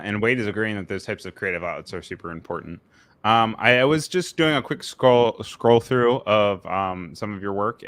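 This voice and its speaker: A man's voice: fairly low pitch, fairly bright tone, no echo. An American man hosting a live-streamed talk show, asking questions in an easygoing way with many fillers. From United States.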